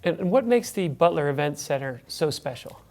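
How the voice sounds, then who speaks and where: A man's voice: a little high, expressive, moderate pace, creaky, darkish tone. A man hosting a TV talk show, introducing guests and reading a countdown list in an upbeat announcer style.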